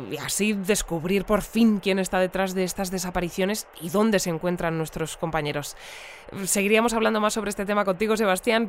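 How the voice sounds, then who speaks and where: A woman's voice: medium pitch, a little hiss or hum, lightly acted. An actress playing a chatty female news presenter, reading a scripted bulletin for a futuristic community.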